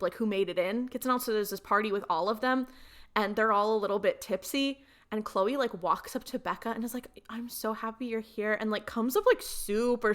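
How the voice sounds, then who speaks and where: A young woman with a mid-range voice chats casually as a podcast co-host. She retells scenes in an animated, slangy way, dropping in quotes as she goes. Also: medium pitch. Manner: animated storytelling, frequent quoting and slangy asides. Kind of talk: casual fan chat.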